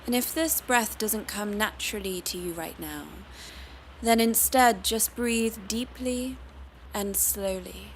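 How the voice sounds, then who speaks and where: A woman teaching yoga meditation, guiding the listener in a calm, soothing, unhurried manner. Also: medium pitch, slight background noise, low-quality recording. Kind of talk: guided meditation.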